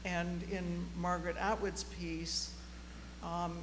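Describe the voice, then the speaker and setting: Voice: medium pitch, level tone, slow pace. Audience commenter, reflective, hesitant delivery, reverberant auditorium with a slight hum. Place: large auditorium, audience microphone. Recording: reverberant hall, faint hum and noise.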